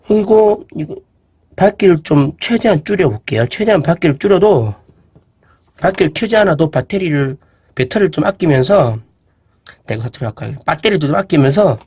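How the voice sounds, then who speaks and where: A man's voice: a little high, slightly rough. A man casually reviewing an e-reader, chatting and thinking aloud as he shows it off. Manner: relaxed, chatty, thinks aloud with fillers and asides. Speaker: product reviewer. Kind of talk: casual product demo vlog.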